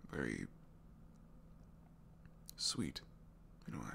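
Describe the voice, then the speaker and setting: A man playing a captured supervillain in an intimate ASMR roleplay, a gentle, bookish dreamer who speaks softly and hesitantly, often sinking toward a whisper. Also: a bass voice, sing-song, slow, gravelly, a little hiss or hum, pitched below the performer's own voice, exaggerated voice. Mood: sincere, fond. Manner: hesitant, soft-spoken, rambling, often dropping to whispers.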